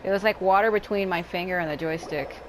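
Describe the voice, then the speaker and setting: A woman chats loosely over her gameplay with a fairly low voice, joking to herself as she plays. Her rambling commentary is set against a faint hiss in the background. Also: fast talker. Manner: chatty, rambling, joking asides. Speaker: gaming channel host. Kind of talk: casual let's-play commentary. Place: hot room at home.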